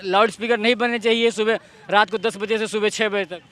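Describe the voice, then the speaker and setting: A man's voice: high-pitched, steady tone, a little fast, sharp tone. A male reporter doing street interviews outdoors, probing and restating other people's points as questions. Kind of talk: vox pop interviewing.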